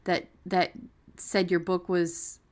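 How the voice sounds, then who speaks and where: A woman's voice: fairly low pitch, flat delivery, slow pace, darkish tone. A woman co-hosting a podcast, talking casually and off the cuff in a blunt, salty-tongued way. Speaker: podcast co-host. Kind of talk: casual unscripted discussion.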